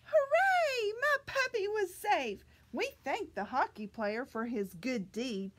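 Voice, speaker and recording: A middle-aged woman reading a picture book aloud to young children, with deliberate, animated delivery and exaggerated enunciation. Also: high voice. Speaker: children's librarian reading at story time. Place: small library children's room, indoors.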